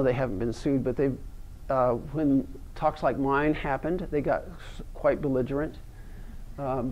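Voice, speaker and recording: A male professor giving an academic seminar lecture, explaining in a halting way. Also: a little high, darkish tone. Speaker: university professor of plant pathology. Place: seminar room, speaking to an audience. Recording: dull, band-limited lecture recording.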